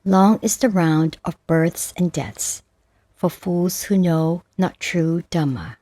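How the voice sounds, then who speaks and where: A woman narrates scripted spiritual texts at a very unhurried pace. Her voice is fairly low and mellow, with a solemn, measured delivery. The sound is dry and close, free of room reflections. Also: no room sound. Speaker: audiobook narrator. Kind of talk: scripted narration of Buddhist verses and stories.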